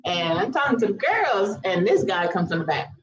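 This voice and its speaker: A woman's voice: mid-range voice, very animated, moderate pace, muffled recording. A woman of about thirty, telling a personal story casually in a chatty, slangy way full of asides. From US Virgin Islands. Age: around 30. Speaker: storytelling vlogger.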